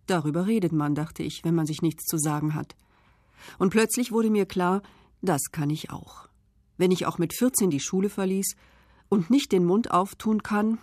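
A woman reading memoir passages in the first person as a self-made woman recalling her rise, in a measured, reflective way. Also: fairly low pitch, a little mellow, dry recording, studio quality.

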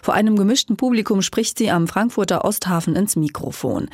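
A woman narrating a scripted radio news report in a calm, even way. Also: mid-range voice, brisk, no echo, clean studio sound.

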